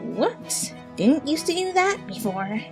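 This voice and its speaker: Woman voicing a brash, high-pitched undead pony. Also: big pitch swings, slow, darkish tone, soft background music, exaggerated voice. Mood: breezy, upbeat. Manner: slangy, pushy, wheedling. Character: brash undead pegasus mare, casual and hungry.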